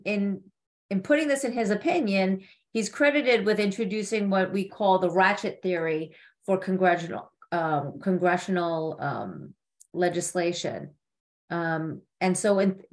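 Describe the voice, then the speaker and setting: A woman panelist giving a measured, formal presentation on a video call, with occasional hesitations. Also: medium pitch. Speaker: judge and panelist. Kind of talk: reading a legal presentation.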